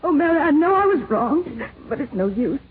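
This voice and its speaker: An actress playing a naive, anxious young society wife in a 1950s radio drama, with a pleading, melodramatic delivery. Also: female voice, high voice, big pitch swings, moderate pace. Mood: tearful, ashamed. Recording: tinny, noisy 1950s radio recording.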